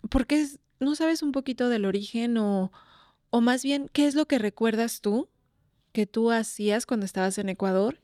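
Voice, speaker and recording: A woman's voice: on the high side, moderate pace, darkish tone, no echo, studio quality. A Mexican woman presenting a radio programme and interviewing guests in Spanish. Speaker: radio host.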